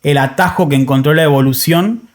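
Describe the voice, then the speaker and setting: A man's voice: on the high side, brisk. A man in his thirties with an Argentine accent, hosting a podcast in a casual, reflective way and often rephrasing as he goes. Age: 30s. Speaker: podcast host. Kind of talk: casual conversational podcast talk.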